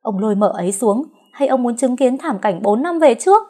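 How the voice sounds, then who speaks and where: A woman voicing a sharp, scheming young woman who shrieks and wails. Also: expressive, brisk. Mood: indignant. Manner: shrill, wailing.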